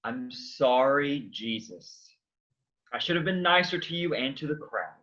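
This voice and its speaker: An actor playing an awkward, sheepish Lazarus in a staged comedy reading, hesitant and dry. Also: male voice, mid-range voice, expressive, measured pace, sharp tone, lo-fi sound. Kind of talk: staged reading of a comic play.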